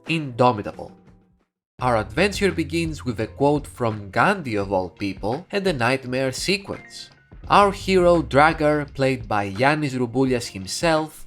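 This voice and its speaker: A man from Greece with a Greek accent, a native Greek speaker, narrating a sarcastic comedic movie review. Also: mid-range voice. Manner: sarcastic, mocking.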